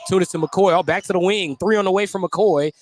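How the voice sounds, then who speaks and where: Male radio play-by-play announcer for basketball, higher-than-average pitch, live game noise audible. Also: steady tone, fairly quick. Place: at courtside or press position in a gym during a game.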